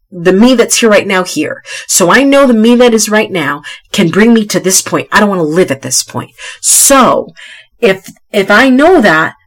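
A middle-aged woman hosting a motivational podcast, tired and a little stuffy, who talks emphatically and repeats key phrases. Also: medium pitch. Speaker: podcast host. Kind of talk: motivational solo podcast talk.

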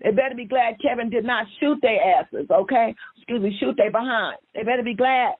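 A woman's voice: a little high, a little fast, slightly rough, no echo. A woman hosting a psychic call-in radio show in a folksy, sing-song way, repeating her phrases. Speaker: radio psychic host taking callers. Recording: tinny phone-like radio feed, music behind.

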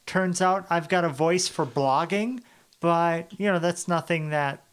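A man's voice: a little high, a little slow, clear, resonant tone. Joking, self-deprecating male podcast host. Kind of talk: casual podcast chat.

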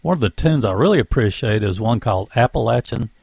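A man hosting his own radio program, introducing songs in a relaxed, unhurried way with pauses and fillers. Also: medium pitch, gravelly, over music. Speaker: station and program host.

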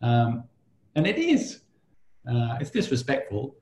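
A man's voice: medium pitch, wildly swooping pitch, takes it slowly, darkish tone, echoey room. A man hosting a podcast interview, chatting loosely, telling stories with a laugh and some swearing. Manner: storytelling, swears freely, laughs while talking. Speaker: podcast host. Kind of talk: casual interview chat.

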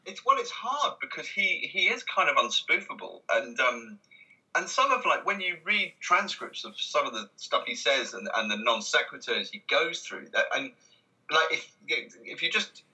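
A man's voice: a little high, big pitch swings, moderate pace, bright, forceful tone, rough recording. A man talking casually as an interview guest, in a hesitant, rambling way, often breaking off and restarting his sentences. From Britain. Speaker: interview guest, comedy writer.

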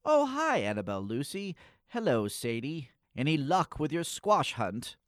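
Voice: medium pitch, big pitch swings, unhurried, no room sound. A timid little boy, acted out for a comic radio play, morose and theatrical. His delivery swings widely and takes its time. He speaks in florid antique phrases, close and dry. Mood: worried.

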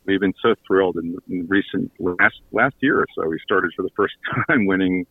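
Man, deep voice, interview guest, casual chat, halting delivery, narrow telephone sound. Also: breathy edge. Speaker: guest, audio theater creator and writer. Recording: echoey, tinny phone-line connection.

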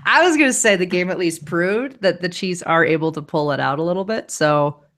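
A woman's voice: fairly low pitch, a little fast, a bit bright. A woman hosting a fantasy football podcast in casual chat, giving confident, firm advice.

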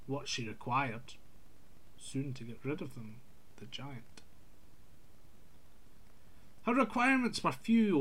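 A man's voice: medium pitch, pushed and bright. A man reading a scripted folk tale aloud in an old-fashioned literary storytelling manner.

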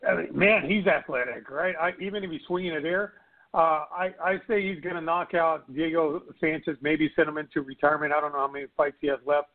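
A man giving fight picks in casual chat, rambling and excitable with stammered restarts, his voice going hoarse. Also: a little high, fairly quick. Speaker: regular panelist. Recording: tinny phone-line audio.

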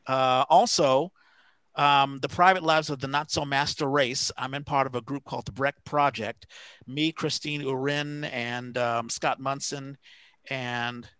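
Man, mid-range voice, casual co-host, halting, searching for details, dry recording. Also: medium pitch, fairly even intonation, a bit bright, clear, resonant tone, no echo. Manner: halting, pausing to find names and details. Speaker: podcast co-host. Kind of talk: casual shout-outs and event announcements.

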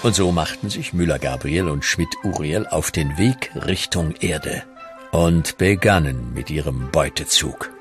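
An actor as a mock-solemn storyteller, narrating a comedy radio play with sly, tongue-in-cheek humour. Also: male voice, extremely low pitch, measured pace, music in the background.

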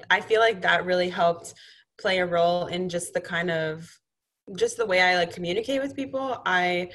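Young female student guest, lowish pitch, very informal chatty phrasing. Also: a bit bright. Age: young adult. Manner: informal, frequent "like". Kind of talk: casual chat.

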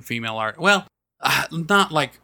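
A man co-hosting a casual podcast chat, with a high voice, animated and quick to laugh and exclaim. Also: creaky, no echo, clean studio sound. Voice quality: high voice he sings falsetto with. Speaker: podcast co-host.

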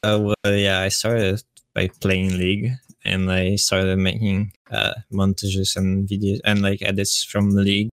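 Low, flat-voiced Polish man. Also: unhurried, clean tone, dry recording. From Poland. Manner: relaxed, hesitant, filler-heavy. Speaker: guest. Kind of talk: casual podcast chat.

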